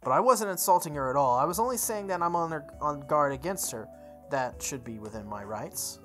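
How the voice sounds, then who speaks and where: A prim male student in a visual novel, read by a woman talks in a low, dry register. He is judgmental and pedantic, scolding as he goes. Music sits faintly behind the voice. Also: expressive, brisk, a bit bright. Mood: disdainful.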